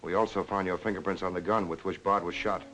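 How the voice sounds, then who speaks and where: An actor playing a blunt, hard-boiled police lieutenant in a 1940s film noir, with an American accent and a clipped, pressing way of talking. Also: male voice, sits low, steady tone, quick pace, natural voice. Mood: stern, probing. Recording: noisy 1940s film soundtrack.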